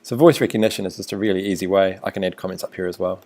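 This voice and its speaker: A man with a New Zealand accent, a teacher talking through a screencast tutorial in a relaxed, thinking-aloud way. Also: deep voice, racing.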